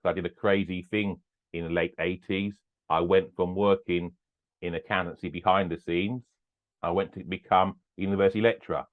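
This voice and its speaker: A man's voice: low voice, steady tone, a little fast. An older man, a business owner and podcast guest, talking earnestly in a casual interview and repeating his phrases. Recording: tinny phone-like line.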